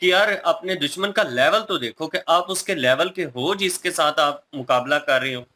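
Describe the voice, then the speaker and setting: A man speaks as a co-host giving his opinions casually. His voice is fairly high and sharply bright. He explains his points with emphasis. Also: normal speed. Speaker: reaction video co-host.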